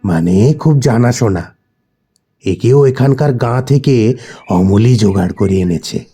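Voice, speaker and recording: A man's voice: mid-range voice, measured pace, dark tone, husky. A man voicing an evasive male friend in an audio horror story, casual, reassuring and matter-of-fact. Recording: voice over background music.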